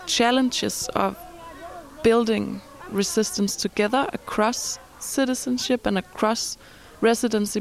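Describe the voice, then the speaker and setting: A woman giving a thoughtful, reflective account, often pausing in mid-sentence. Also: mid-range voice, creaky. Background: crowd and ambient noise.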